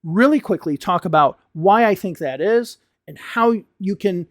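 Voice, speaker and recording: A young American man speaks straight to his English students in a frank, fired-up tone, hitting words hard for emphasis. His voice sits high for a man. The sound is dry and close, with no room around it. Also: expressive, measured pace, no echo. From United States. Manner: frank, impassioned rant with emphatic stresses. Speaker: English teacher. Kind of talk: talking-head video lesson.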